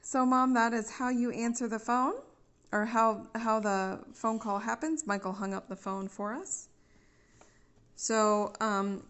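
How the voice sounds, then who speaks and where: A woman with an American accent giving a casual, patient, step-by-step how-to at home. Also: medium pitch. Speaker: family member giving a phone tutorial. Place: indoors at home.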